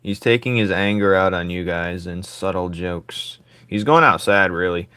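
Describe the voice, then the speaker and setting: Raspy man, low register, casual live-drawing streamer who rambles to himself and his viewers. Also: moderate pace, sharp tone, no room sound, clean studio sound. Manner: rambling, thinking aloud, joking with viewers.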